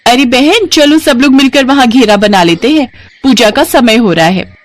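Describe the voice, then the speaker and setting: A woman's voice: high voice, normal speed, no echo, pitched above the performer's own voice, close to an everyday voice. A woman voicing a friendly, bustling village housewife in a storybook tale, chatty and eagerly calling out. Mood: eager, hurried. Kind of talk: storybook dialogue.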